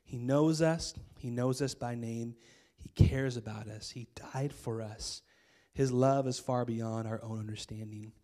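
A man in his thirties with an American accent preaching a sermon on a church stage into a handheld mic, his voice hoarse from a cold, casual and conversational with many fillers. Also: medium pitch, fairly even intonation, measured pace, warm tone. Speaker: pastor. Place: church stage with string lights.

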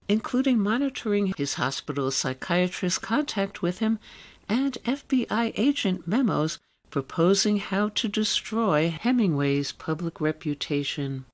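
A woman presenting an arts radio programme, reading a scripted introduction with opinionated commentary. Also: lowish voice. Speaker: radio arts show host.

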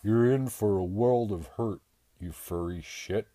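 A man voicing a dim-witted, hulking circus strong man who talks in a simple, blustering way and often shouts. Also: low-pitched, a little slow, dry recording.

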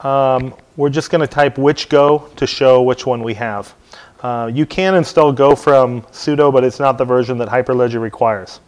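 A middle-aged man teaching a hands-on software install at a lectern in a dim meeting room, talking casually with many ums and uhs. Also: medium pitch, fairly even intonation, normal speed, slight background noise. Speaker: technical trainer. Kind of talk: hands-on tech tutorial talk.

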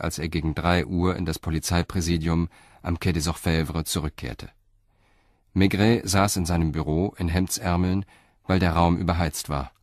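A man narrating a scripted crime story as a radio drama narrator, in a sober, measured manner. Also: deep voice, darkish tone, no echo, studio quality.

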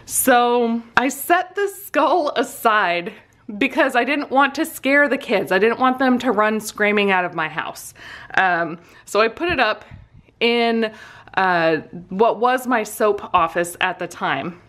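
A woman in middle age with a fairly high voice chats casually through a personal story. She sounds amused and poking fun at herself, with the animated delivery of a vlogger. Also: slow pace. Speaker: vlogger and soap maker.

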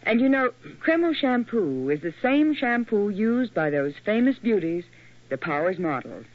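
A man's voice: high-pitched, big pitch swings, a little slow. A man co-announcing a 1940s radio commercial, emphatic and salesmanlike. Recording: tinny, narrow old radio recording.

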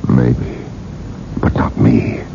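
An actor in a radio drama playing a dying old industrialist, weak and desperate, with faltering speech. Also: male voice, very slow, dark tone. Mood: weary, resigned. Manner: weak, faltering, effortful delivery. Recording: noisy, dull old radio broadcast.

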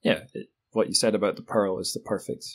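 A man's voice: fairly low pitch, fairly even intonation, a little fast, husky. A man hosting a podcast in a casual, conversational way. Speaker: podcast host.

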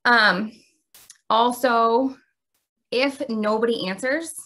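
A middle-aged woman with an American accent, explaining and reading aloud as a teacher of young children in an expressive way. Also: fairly high pitch, takes it slowly. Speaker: teacher demonstrating a read-aloud.

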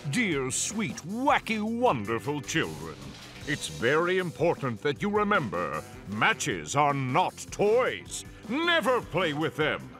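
A man's voice: a little high, fairly bright tone, full character voice. A man playing a bombastic, cheerful, muscle-bound cartoon superhero who lectures children in a theatrical, goofy, emphatic way. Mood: earnest, emphatic. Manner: theatrical, emphatic, goofy public-safety preaching. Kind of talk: children's cartoon safety message.

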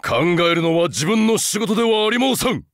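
A man's voice: high-pitched, expressive, normal speed, dry recording, studio quality. A man voicing a huge, loyal, humble beast-man soldier in a game, haunted and earnest, speaking with stiff archaic military formality. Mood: stiff, dutiful. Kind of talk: Japanese game character voice acting.

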